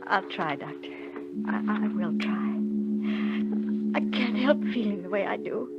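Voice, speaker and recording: A woman's voice: medium pitch, lively intonation, unhurried. An actress playing an anguished young widowed mother in a vintage radio drama, tender, faltering and emotional. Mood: despairing. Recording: dull old radio recording with loud music.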